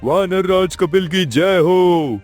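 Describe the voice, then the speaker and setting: A man's voice: sits high, slow, darkish tone, soft background music. A man playing a loyal soldier in a cartoon story, proclaiming his lines like a chant. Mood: triumphant. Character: loyal cheering soldier hailing his king. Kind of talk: Hindi cartoon moral-story voice acting.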